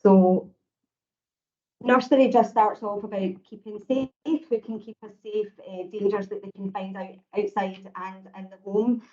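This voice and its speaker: A Scottish woman with a booming voice presenting teaching resources in an online meeting, explaining steadily. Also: measured pace. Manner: steady, explanatory, calls her own voice booming. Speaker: education presenter. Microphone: video call. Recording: dull lo-fi video call audio.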